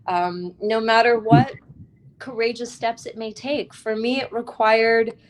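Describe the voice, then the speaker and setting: A woman speaking as an interviewed author, earnest and reflective, with deliberate, emphatic phrasing and pauses. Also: on the high side, steady tone.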